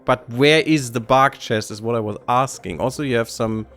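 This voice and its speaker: A man's voice: mid-range voice, moderate pace, fairly bright tone, soft background music. A man from Germany with a German accent, chatting casually and joking while co-playing a game over voice chat. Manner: jokey, self-mocking, rambling asides. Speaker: game co-player, programmer.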